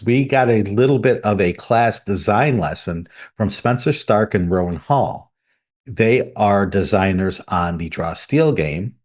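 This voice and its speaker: Low-voiced man co-hosting a podcast. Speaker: podcast co-host. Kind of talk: conversational news reading.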